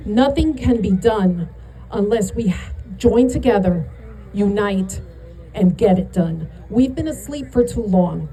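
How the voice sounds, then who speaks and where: A woman's voice: medium pitch, poor audio. A middle-aged woman with a New York accent giving a punchy, rousing rally speech outdoors. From New York area. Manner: emphatic, punchy, building to shouts. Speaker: rally speaker.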